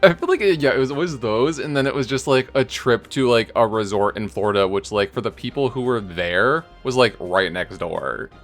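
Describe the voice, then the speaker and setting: A man's voice: medium pitch, moderate pace, hardly any pauses, faint music behind. A man co-hosting a podcast, chatting casually and hesitantly, saying "like" constantly. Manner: hesitant, heavy use of "like". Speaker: podcast co-host.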